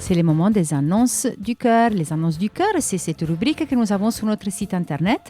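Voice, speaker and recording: A woman's voice: medium pitch, lively intonation, normal speed, warm tone, soft background music. A woman hosting a radio segment, reading listener personal ads and short news in a friendly, conversational way with frequent hesitations. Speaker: radio show host.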